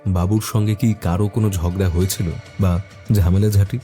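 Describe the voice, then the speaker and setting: A man's voice: low voice, steady tone, normal speed, warm tone, soft background music. A man reading a scripted detective story as narrator, also speaking the detective's questions.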